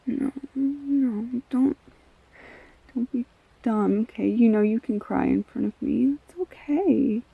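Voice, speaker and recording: A woman playing a tender, reassuring girlfriend in an intimate audio roleplay, speaking haltingly and softly with a breathy, husky voice. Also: on the high side, no echo, phone-quality sound, heavily acted. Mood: gentle, soothing. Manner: soft, halting, soothing, often near whispering. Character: tender, reassuring girlfriend comforting an upset partner.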